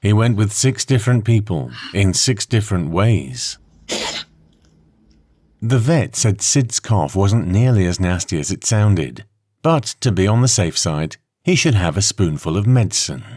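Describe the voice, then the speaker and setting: Slow, deep-voiced male storybook narrator. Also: sing-song, darkish tone, no room sound, studio quality. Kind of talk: scripted children's story narration.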